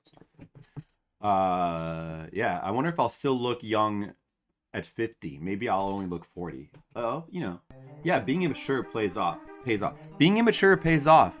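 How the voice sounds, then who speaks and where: A man's voice: lowish voice, lively intonation, unhurried, soft background music. A man in his forties, a game streamer, chatting casually and ramblingly to his viewers at home, with self-mocking humor. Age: 40s.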